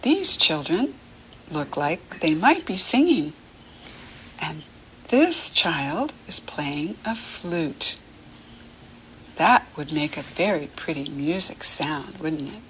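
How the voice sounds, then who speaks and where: An American woman teaching young children through a picture book, speaking to the child directly in simple, encouraging phrases with pauses. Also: low-pitched, sing-song, a bit bright, a little hiss or hum, low-quality recording.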